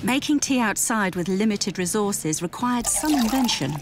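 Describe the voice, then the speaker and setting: A woman giving clear, scripted documentary narration recorded as a studio voice-over. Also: mid-range voice, animated, normal speed, over loud music. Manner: clear, storytelling delivery. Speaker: TV presenter.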